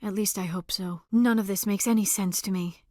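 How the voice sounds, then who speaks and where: Woman acting as a gentle young alien scientist lady for a video game, sincere n formal, careful wording, dry studio sound, medium pitch. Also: steady tone, no echo, lightly acted. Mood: troubled, reflective. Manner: formal, measured, careful diction with few contractions. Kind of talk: scripted video game character dialogue.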